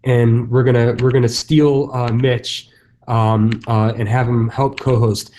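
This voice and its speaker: A man's voice: medium pitch, flat delivery, a little slow, dark tone, a little hiss or hum. A man who works as a real estate agent, speaking casually at a conference session, loose with fillers and restarts. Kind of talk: conference stage talk.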